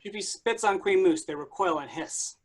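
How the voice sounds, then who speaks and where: A woman's voice: lowish voice, normal speed, crowd noise, lightly acted. A woman giving scripted narration, reading out stage directions for a play.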